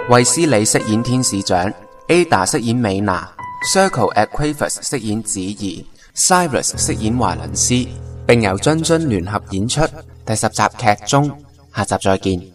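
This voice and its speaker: A man's voice: mid-range voice, animated, normal speed. A man with a Hong Kong Cantonese accent reading scripted credits and promos as an announcer. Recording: loud music under a distant voice.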